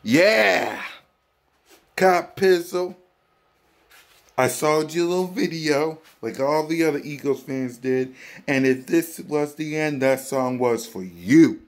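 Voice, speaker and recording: An American man in his thirties giving a mocking, repetitive, drawn-out sports fan taunt, recorded on a phone indoors. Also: fairly high pitch. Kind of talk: taunting fan vlog, casual trash talk. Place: small room indoors at home. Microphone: phone at arm's length.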